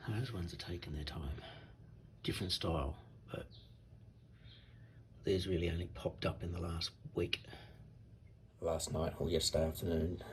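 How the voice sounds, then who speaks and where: A middle-aged man with a hoarse voice he is losing, talking plainly and matter-of-factly in a casual vlog. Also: deep voice, darkish tone. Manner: plain, matter-of-fact talk with restarts. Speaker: vlogger. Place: in a car, then outdoors in a yard. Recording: noisy car and outdoor background.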